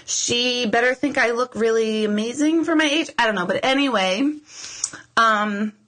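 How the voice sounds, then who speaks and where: Woman, 40s, livestream host, rambly and chatty, with a bright, slightly high voice. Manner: chatty and rambling, quick asides and mock outrage. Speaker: intuitive medium hosting a live Q&A. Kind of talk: casual livestream chat with viewers.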